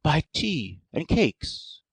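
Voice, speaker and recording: A man's voice: on the high side, very animated, extremely slow, vocal fry, slightly rough, no echo, full character voice. A man playing a poor, earnest, emotional artist with a dramatic, exclaiming delivery in an audiobook. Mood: urgent excitement. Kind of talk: dramatic audiobook character reading.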